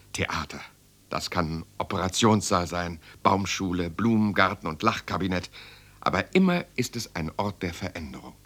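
An idealistic young would-be playwright in a radio drama. His voice is very low, and he phrases his thoughts deliberately, with earnest rhetorical weight. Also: a bit bright. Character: idealistic young male playwright and former medical student. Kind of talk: German radio drama monologue and dialogue.

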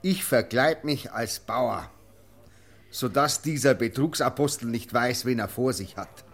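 An actor playing a scornful, self-righteous veterinary official in a German radio play, with a Bavarian accent and an indignant, emphatic way of talking. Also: male voice, mid-range voice, measured pace. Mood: scornful, determined.

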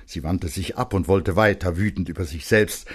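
A man narrating a literary story dramatically and intensely, with pointed pauses and phrases that sink into a hush. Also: sits low, husky.

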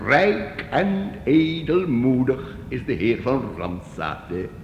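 A wily, flattering leader of wandering minstrels in a radio drama. He speaks slowly and theatrically at a middle pitch, with a long hall echo around him. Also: vocal fry, muffled recording. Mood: wheedling, flattering. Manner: theatrical, measured salesman's patter. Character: sly, flattering master of travelling medieval musicians. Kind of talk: radio play acting. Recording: echoey hall, noisy old radio recording.

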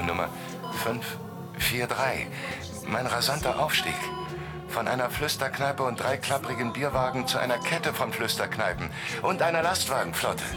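A man's voice: mid-range voice, natural voice. An actor playing a tough, laconic gangster recounting his rise in a German radio play. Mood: boastful. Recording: music behind studio radio play.

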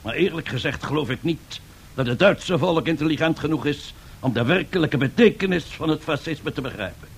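Strutting Italian fascist leader from a radio play. His husky, creaky voice sits a little high. He speaks in pompous, self-admiring rhetoric, grand and boastful throughout. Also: animated, fairly quick, full character voice. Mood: self-satisfied. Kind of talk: 1970s radio drama acting.

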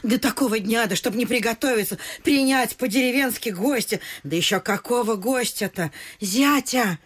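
An actress playing a bustling, excitable middle-aged provincial wife and mother, gushing and bossy in theatrical radio vaudeville style. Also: fairly high pitch, normal speed, husky. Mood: flustered, elated.

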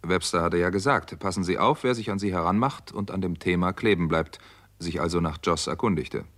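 An actor playing a wary, brooding doctor in a 1960s German radio crime drama, with a measured delivery. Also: male voice, low voice, a bit bright. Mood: brooding, tense. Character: wary, brooding American doctor narrating his own case.

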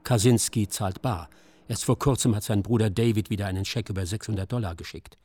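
A man's voice: fairly low pitch, brisk, mellow. A man narrating a scripted radio documentary drama in a sober, clipped manner. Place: dry studio.

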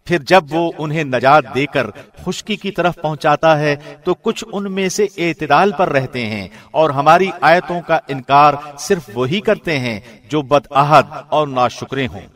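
Male studio narrator, slightly high, measured and solemn, formal reading. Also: a bit bright. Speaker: translation reader. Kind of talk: scripted narration. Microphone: studio mic.

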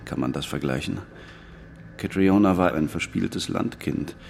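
Deep, gravelly, measured police detective. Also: male voice, a little mellow. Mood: measured, reflective. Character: calm, probing male police detective on an island. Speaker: actor in a radio play. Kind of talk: naturalistic German radio drama dialogue.

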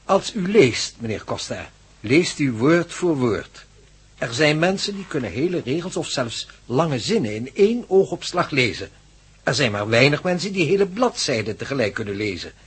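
An actor playing an excitable, pedantic professor in a radio play, lecturing and stumbling over his words in his devotion to science. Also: mid-range voice, normal speed, breathy edge. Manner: hesitant, lecturing, exclamatory. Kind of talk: radio drama acting.

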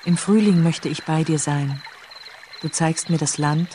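A man's voice: on the high side, measured pace. A man reciting short poetic lines. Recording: loud water-like nature noise over voice.

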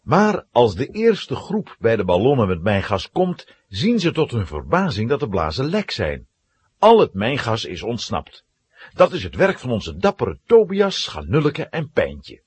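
A man narrating a children's radio play with dramatic, suspenseful, animated storytelling. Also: mid-range voice, unhurried, slightly rough, dry recording.

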